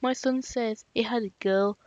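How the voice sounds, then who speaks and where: A woman's voice: a little high, steady tone, moderate pace, darkish tone, no room sound, highs cut off, voice pushed up, lightly acted. A woman voicing a pushy entitled mother who wheedles and insists on getting something for free. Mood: wheedling.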